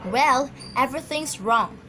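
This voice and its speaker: A very high-pitched, nervous invalid wife acted by a woman in an amateur radio play. She is demanding and emotional, full of complaint. The recording has clear background noise. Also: measured pace. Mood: irritated. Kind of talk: amateur radio drama acting.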